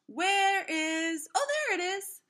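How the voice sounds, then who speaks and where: A woman's voice: very high voice, lively intonation, slow, fairly bright tone, pitched above the performer's own voice, heavily acted. A woman reading a storybook as an eccentric, enthusiastic female science teacher, theatrical and instructive. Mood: delighted.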